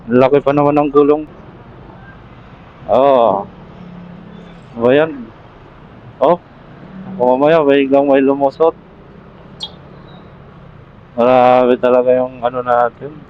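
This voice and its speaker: A man's voice: mid-range voice, fairly even intonation, slow, long pauses, lo-fi sound, slight background noise. A man chatting casually as a motorcycle vlogger through a helmet intercom while riding. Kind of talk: casual riding vlog chat. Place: outdoors, riding a motorcycle in traffic.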